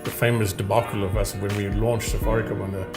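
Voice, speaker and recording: Man reminiscing over clear music. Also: lowish voice, level tone, quick pace. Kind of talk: informal reminiscing. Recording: clear music bed behind the voice.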